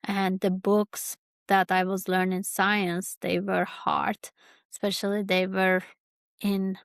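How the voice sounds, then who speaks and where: A young woman talks slowly through a personal story, her voice carrying a gravelly fry. She keeps a fairly level tone and stops often, trailing off and restarting. The sound is close and dry. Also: mid-range voice, little pitch movement, no echo. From Kurdish region of Turkey. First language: Kurdish. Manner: hesitant, many fillers and restarts. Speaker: podcast host.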